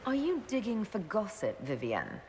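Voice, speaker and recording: A lively, dryly witty leader. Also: female voice, mid-range voice, moderate pace, a little hiss or hum. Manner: chatty, lively, lightly teasing.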